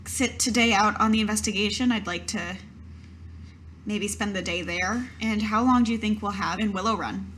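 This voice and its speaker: A woman's voice: mid-range voice, normal speed, a bit bright, slight background noise. A woman playing a tabletop game, improvising in character in a casual, somewhat hesitant, questioning way.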